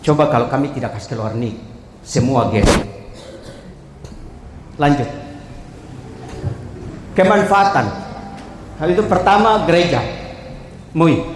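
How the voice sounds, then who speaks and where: Male official, pitching to a panel, forceful and direct, with faint room noise behind. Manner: emphatic, calls out to the audience, moves slide to slide. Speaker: civil registry official presenting to a jury. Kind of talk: formal presentation to a panel. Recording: echoey hall, faint ambience.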